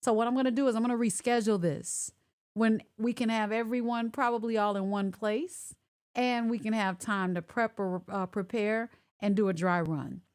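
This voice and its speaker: A woman's voice: fairly high pitch, steady tone, moderate pace, a little mellow, clear, resonant tone. A woman hosting a live online talk show over a video call, chatty and repeating herself as she checks whether her guests can hear her. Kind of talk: casual live-stream chat.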